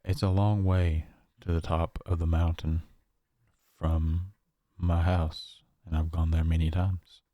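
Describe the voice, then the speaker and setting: A man playing a shaken, paranoid survivor recording a horror audio log, speaking haltingly with long pauses. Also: low-pitched, level tone, no room sound, dull audio. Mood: weary, reflective. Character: shaken, paranoid male survivor of a nightmare realm.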